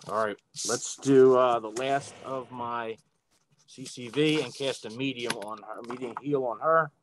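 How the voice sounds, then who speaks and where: A man with a mid-pitched voice talks casually through his turn in a tabletop game. Faint noise and keyboard clicking come through. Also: steady tone, a little slow.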